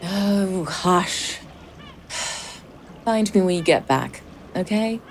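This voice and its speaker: A woman's voice: on the low side, lively intonation, extremely slow, exaggerated voice. A woman playing a wistful, affectionate character with a wry, tender delivery in an audio drama. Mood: wistful, teasing. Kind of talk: naturalistic audio drama acting. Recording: clear waterside boat noise behind.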